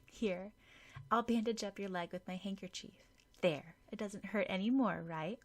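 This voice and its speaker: A woman voicing a sweet, earnest girl in an audiobook, speaking softly. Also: mid-range voice, normal speed, lightly acted. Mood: soothing. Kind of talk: audiobook character dialogue.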